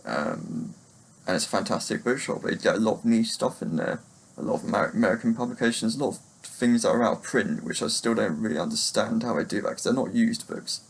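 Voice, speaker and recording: A man giving a casual, unscripted book chat to camera in a hesitant, halting, rambling way. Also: lowish voice, creaky, husky, poor audio. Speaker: book reviewer vlogger.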